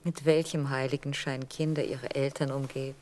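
Soft, low-voiced timid mother, very reverberant. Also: little pitch movement, moderate pace. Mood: gently teasing. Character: narrator's mother, timid, gentle, mild older woman. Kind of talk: radio play acting. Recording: distant reverberant sound with clear noise.

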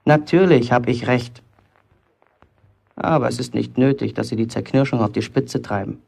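An actor playing a defiant young apprentice bricklayer in a German radio play, lecturing sarcastically like a pompous prosecutor with rhetorical questions. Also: male voice, medium pitch, steady tone, moderate pace, creaky, a little mellow. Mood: mock-understanding, lecturing.

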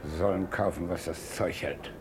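An actor playing a cynical, gloating British financier in a vintage German crime radio drama, sardonic and theatrical. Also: male voice, low voice, steady tone, normal speed, background noise, close to an everyday voice. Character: cynical, gloating titled British financier scheming on the stock exchange.

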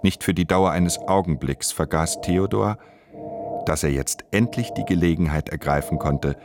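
A man's voice: low-pitched, moderate pace, background noise. A man, narrating literary prose for a radio play.

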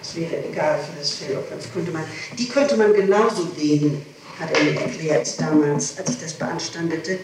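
A woman is being questioned, and she answers in an explanatory, insistent way, often repeating herself. Her voice has a medium pitch and sits far from the microphone in a very echoey room. It is an old tape with some hiss. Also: normal speed. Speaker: person being questioned by a prosecutor. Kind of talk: answering questions in a formal interrogation. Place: reverberant room, interrogation recorded on tape. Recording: echoey archival tape, distant mic, hiss.

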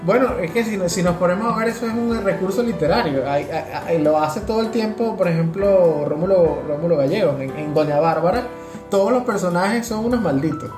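A Venezuelan woman with a fairly low voice talks casually, explaining and doubling back on words. The room is echoey, and guitar music plays clearly behind her. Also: animated, brisk. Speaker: podcast co-host. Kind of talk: casual chat.

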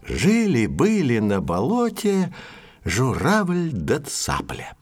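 Slow, expressive male fairy tale narrator. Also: medium pitch, over music. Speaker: storyteller.

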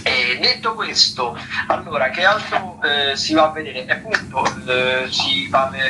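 A man giving an informal radio rundown as a theatre critic, halting and digressive, rephrasing as he goes. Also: medium pitch, background noise. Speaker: radio theatre critic reviewing and listing shows. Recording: distant radio mic with music behind. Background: music.

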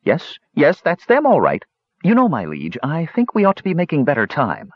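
A man voicing a small, cheerful kender sidekick who is eager and fussily deferential, in a raised husky voice. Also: a little high, huge pitch swings, normal speed, no room sound, highs cut off, pitched above the performer's own voice, heavily acted. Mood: fretful.

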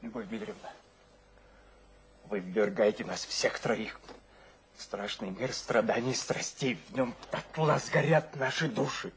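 An actor playing an impassioned tragic lover, grandiloquent and solemn, in a radio drama. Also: male voice, mid-range voice, sing-song, a little slow, creaky, a little hiss or hum. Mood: solemn foreboding. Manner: declamatory, solemn.

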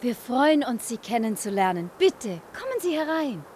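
An eager hostess welcomes guests in a radio drama. Her voice is high and swoops with animation as she effusively urges them along. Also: sing-song, normal speed, darkish tone, a little hiss or hum. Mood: welcoming. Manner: effusive, urging. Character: eager, welcoming hostess greeting visitors at Christmas.

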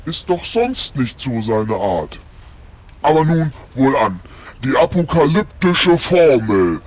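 Pompous, slow-talking demon lord. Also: male voice, mid-range voice, sing-song, a little mellow. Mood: puzzled, self-important. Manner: grandiose, archaic, drawn-out villain speech. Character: pompous theatrical demon lord of hell, menacing and whiny. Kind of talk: German audio-play parody acting.

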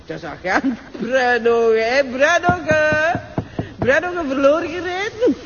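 A woman's voice: high-pitched, lively intonation, a little slow, noisy background. An actress playing a drunk Flemish woman who slurs, stammers and rants at men, in a radio drama. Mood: slurring. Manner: slurred, stammering, loud outbursts. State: drunk, slurring. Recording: dull lo-fi radio recording.

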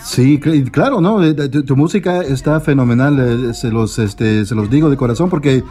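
A man's voice: medium pitch, moderate pace, warm tone, slightly rough, soft background music. A man hosting a radio interview, enthusiastic and hesitant, with many fillers and restarts. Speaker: radio host.

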